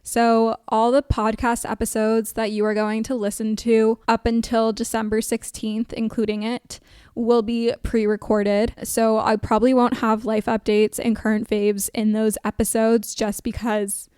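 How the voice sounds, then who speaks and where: A woman in her twenties hosting an advice podcast, chatting casually with a level, monotone delivery and lots of "like" fillers. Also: fairly high pitch, measured pace, smooth, no room sound, studio quality. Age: 20s. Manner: chatty, says she sounds monotone, frequent "like" fillers. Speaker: podcast host.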